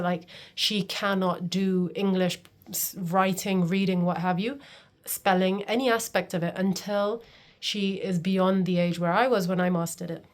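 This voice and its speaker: Earnest woman vlogging to camera. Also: mid-range voice. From Pakistan. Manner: earnest, confiding, often says "literally". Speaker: homeschooling and wellness coach. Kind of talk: personal talking-to-camera vlog.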